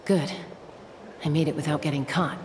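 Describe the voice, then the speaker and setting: Woman playing cool young female game scout, low pitch, confident, protective, matter-of-fact, music underneath. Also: steady tone, moderate pace, a little mellow, close to an everyday voice. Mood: focused. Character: confident, capable young tribeswoman scout, protective older sister. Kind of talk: video game voice acting.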